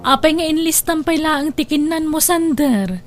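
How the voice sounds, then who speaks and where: A woman plays an easygoing lady restaurant boss in a radio drama. Her voice is high and her manner is kindly and generous. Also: expressive, normal speed, loud music behind the voice. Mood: curious, generous. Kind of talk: Ilocano radio drama acting.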